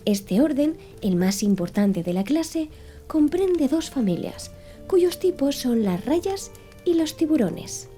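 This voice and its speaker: A woman's voice: sits high, big pitch swings, slow, warm tone, soft background music, pitched above the performer's own voice, exaggerated voice. A woman doing a pedantic young manservant. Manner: impassive, reciting lists precisely. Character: pedantic young male servant naturalist.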